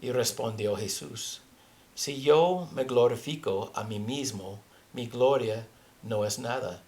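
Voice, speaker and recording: A man with a foreign accent, a native English speaker, teaching scripture haltingly as he searches for words. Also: medium pitch, a little mellow. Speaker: religious teacher. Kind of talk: Bible teaching with scripture reading.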